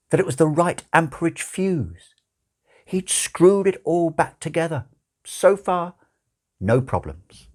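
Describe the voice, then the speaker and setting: Slow, wry male storyteller, expressive pitch. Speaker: audiobook narrator.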